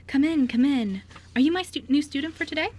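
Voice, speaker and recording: A woman playing a stern but kindly older music teacher in a radio play, firm, measured and encouraging. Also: high-pitched, darkish tone, voice pushed up, lightly acted. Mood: welcoming. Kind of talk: amateur radio play acting.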